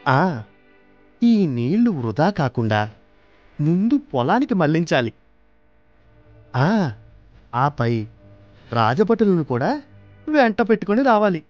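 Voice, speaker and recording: A man voicing a clever, gleeful court poet with a self-satisfied manner in an animated children's story. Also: fairly high pitch, takes it slowly, long pauses. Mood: amused scheming. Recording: muffled lo-fi, music underneath.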